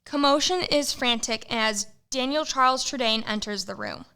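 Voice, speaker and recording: A woman narrating a comic student radio play in a brisk storybook manner. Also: a little high, very noisy.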